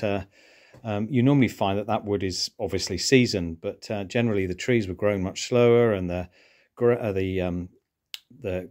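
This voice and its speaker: A middle-aged man chatting casually as he works outdoors on a DIY project, explaining each step with ums and restarts, recorded on an action camera. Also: on the low side. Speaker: vlogger doing home DIY. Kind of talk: casual narration while working.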